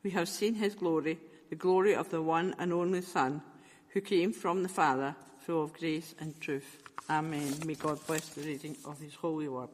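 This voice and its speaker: A man reading scripture aloud in a measured, careful way. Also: fairly high pitch, fairly even intonation, in a large hall. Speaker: scripture reader.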